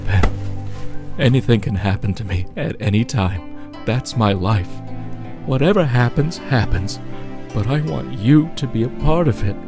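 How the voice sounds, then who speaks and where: Guy doing a worn out stubborn spider demon gangster, mid pitched, lovesick, music loud behind him. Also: unhurried, lightly acted. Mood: pleading, earnest. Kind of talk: audio drama acting.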